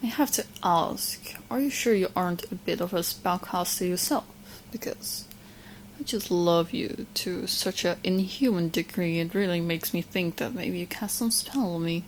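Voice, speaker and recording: A woman playing a sweet, clingy, lovestruck young witch in a soft, intimate ASMR roleplay, speaking in hesitant, doting phrases. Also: on the low side, normal speed, a little hiss or hum, close to an everyday voice, poor audio. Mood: doting, hopeful.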